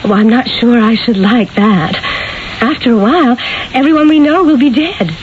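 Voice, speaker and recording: An actress playing a gently doubtful Renaissance lady, polite and wistful, in a 1970s radio drama. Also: on the high side, moderate pace, close to an everyday voice. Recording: dull vintage radio recording, faint noise.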